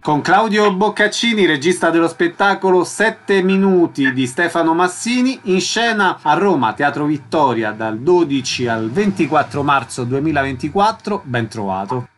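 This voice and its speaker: Man, radio interviewer, fairly high voice, scripted intros, short questions. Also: lively intonation, moderate pace, few pauses, a bit bright, faint music behind.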